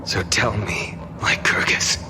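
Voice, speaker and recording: An actor playing a weary, defiant young hero in a game cutscene, speaking solemnly and deliberately with scornful resolve. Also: male voice, low voice, steady tone, noisy background. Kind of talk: dramatic game cutscene acting.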